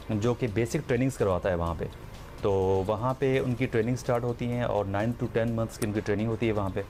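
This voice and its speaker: Man from Pakistan, lowish voice, steady explainer, faint music behind. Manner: steady, mixes in English phrases. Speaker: guest air traffic controller. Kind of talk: explanatory talk-show answers.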